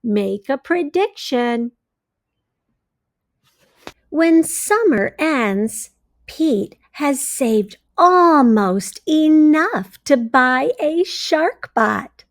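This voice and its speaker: A woman reading a picture book aloud to young children, deliberately and simply, pausing to put questions to her listeners. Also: high voice, lively intonation, no room sound, studio quality. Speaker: read-aloud storyteller for early readers.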